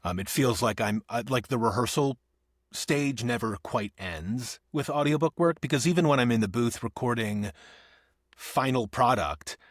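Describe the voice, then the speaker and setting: A man, an actor and audiobook narrator, talking as a podcast guest, thinking aloud with restarts and soft laughs. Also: medium pitch, moderate pace, dry recording, clean studio sound. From Northeast Ohio. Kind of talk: conversational podcast interview.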